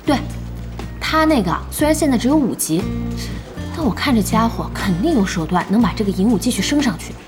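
A woman playing a lively, exasperated young gamer in an audio drama, expressive, emphatic and chatty. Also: fairly high pitch, brisk. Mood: excited. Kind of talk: animated Chinese audio drama acting. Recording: music bed under the dialogue.

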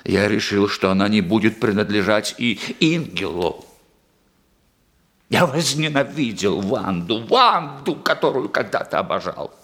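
A man's voice: on the low side, lively intonation, unhurried. A volatile, jealous male murderer. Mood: bitter confession. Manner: emphatic, menacing, swinging between outbursts and hushed bitterness. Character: cunning, jealous actor turned murderer, embittered and volatile. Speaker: actor in a radio play. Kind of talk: theatrical Russian radio drama acting. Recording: roomy, echoing studio radio-play recording.

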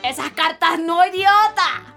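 A woman plays a bossy, superstitious Colombian cleaning lady in a comic radio drama. Her voice is extremely high and piercingly bright. She teases in theatrical, colloquial style, with music behind her. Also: measured pace, heavily acted. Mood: scolding.

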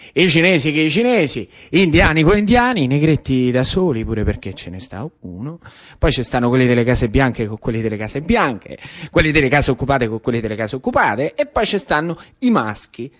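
A man's voice: medium pitch, very animated, a little fast, fairly bright tone. A man with a Roman Italian accent performing a theatrical radio monologue in colloquial speech, with rhythmic repetition. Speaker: actor-storyteller.

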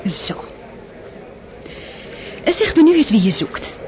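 A worldly society countess, played by an actress, flattering and sly. Her voice swoops lively as she coaxes. Faint hiss sits under the old radio play. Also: fairly high pitch, takes it slowly. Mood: coaxing. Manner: honeyed, flattering.

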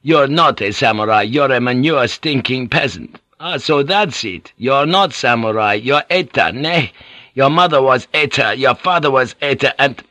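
A coldly amused Jesuit priest voiced by a male narrator in an audiobook. He relays words pointedly, with a bright edge and a dry, close sound. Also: medium pitch, expressive, moderate pace, no room sound, voice pushed up. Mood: cold relaying.

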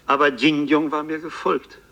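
An actor playing a haunted Parisian bookseller, narrating his fantastical ordeal in a literary, deliberate and intense radio play style. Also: a little high, flat delivery, sharp tone. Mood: haunted, agitated. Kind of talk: dramatic first-person radio play narration.

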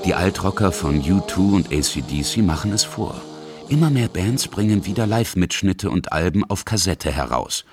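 A man's voice: sits low, lively intonation, moderate pace. A man reading scripted narration for a radio feature as its narrator. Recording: music under the voice. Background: music.

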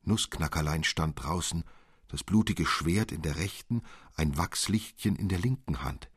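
A man narrating a literary fairy tale as an expressive, measured storyteller. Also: sits low, husky, no echo, studio quality.

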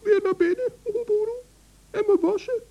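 A woman voicing a flustered little male forest gnome in an old radio play, with a very high voice that stammers and frets. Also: brisk, lo-fi sound. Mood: anxious. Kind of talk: 1960s radio play acting.

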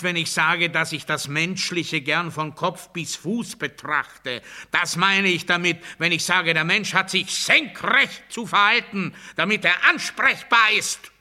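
An actor playing a coldly pedantic, grandiose prisoner in a literary radio play monologue, speaking with precise, officious declamation. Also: male voice, fairly high pitch, pushed and bright. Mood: fervent, declamatory.

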